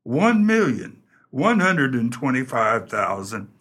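An actor playing an earnest, melodramatic grown son of a farm couple in a comic radio play, husky and forceful. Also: male voice, medium pitch, sing-song, slow pace, heavily acted. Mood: urgent, conspiratorial.

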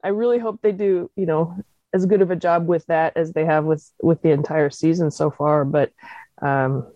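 A woman's voice: sits low, steady tone, moderate pace, dark tone, dry recording. A woman calling in to a fan talk show, chatting casually and thinking aloud over a dull, lo-fi line.

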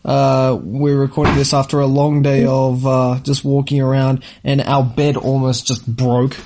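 A man's voice: medium pitch, flat delivery, unhurried, a little mellow. A man co-hosting a podcast, chatting casually in an informal, rambling, slangy way. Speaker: podcast co-host. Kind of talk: casual chat about travel.

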